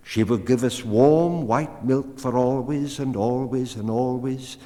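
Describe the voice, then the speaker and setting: A man's voice: medium pitch, unhurried, mellow, pitched above the performer's own voice, lightly acted. A man voicing a haughty, teasing cave woman in a storybook, with a lofty, emphatic delivery. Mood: proud. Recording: long hall reverb.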